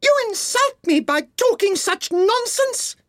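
Squeaky, indignant mouse. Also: female voice, animated, measured pace, no echo, clean studio sound, full character voice. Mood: angry, severe. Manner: sharp, indignant, severe. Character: touchy, severe, easily offended mouse.

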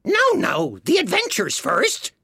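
A bossy mythical beast from a storybook, impatient and curt. The voice is raspy and creaky, drags slowly and lurches up and down in pitch. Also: wildly swooping pitch, a bit bright, no echo, clean studio sound, heavily acted.

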